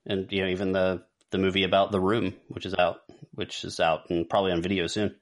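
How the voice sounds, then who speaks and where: A man's voice: low voice, little pitch movement, a little fast. A man co-hosting a podcast, chatting casually and ranking things with rambling asides. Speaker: podcast co-host. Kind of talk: casual chat, film ranking.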